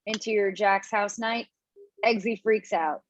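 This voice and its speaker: A woman's voice: mid-range voice, steady tone, a little slow. A woman reading stage directions in scripted narration at a table read, now and then stumbling over words. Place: remote online table read. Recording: dull, dry lo-fi call audio.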